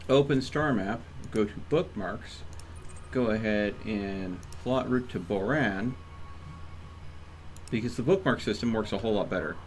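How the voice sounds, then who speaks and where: A man's voice: fairly low pitch, unhurried, slight background noise. A man livestreaming a video game in casual chat, rambling and thinking aloud as he plays. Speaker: live streamer playing a video game.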